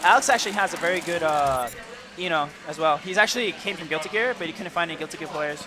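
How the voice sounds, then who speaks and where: A man's voice: fairly high pitch, quick pace, a crowd in the background. A Puerto Rican man explaining game mechanics in casual commentary, often restarting his sentences.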